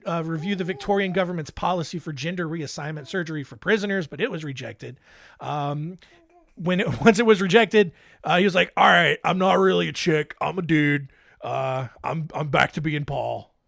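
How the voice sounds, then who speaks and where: A man's voice: high voice, no echo. A young man hosting a casual solo podcast, making joking, playful asides. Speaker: podcast host.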